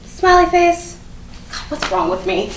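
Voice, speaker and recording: A woman's voice: very high-pitched, big pitch swings, a little slow, full character voice. Woman playing snarky petty girl, indignant, stage comedy, really up and down voice, echoey room. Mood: self-mocking. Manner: snarky, emphatic, dramatic. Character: petty, indignant young woman stewing over former friend. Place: live staged reading in a room. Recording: roomy, distant mic, faint noise.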